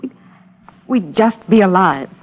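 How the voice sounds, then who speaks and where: An actress playing an earnest, devoted young American daughter in a vintage radio drama, pleading with dramatic intensity. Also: female voice, medium pitch, animated, measured pace. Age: young adult. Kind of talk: 1940s-50s radio drama acting. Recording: dull old radio recording with hall reverb.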